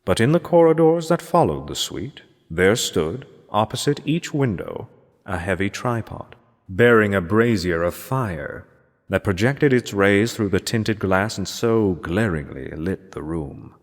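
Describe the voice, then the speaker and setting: A man with a very low voice narrates a classic gothic horror story in a measured, theatrical way. His formal delivery rings out in a long, cavernous reverb. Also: expressive. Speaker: amateur reader of a horror story. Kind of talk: dramatic scripted narration of gothic literature.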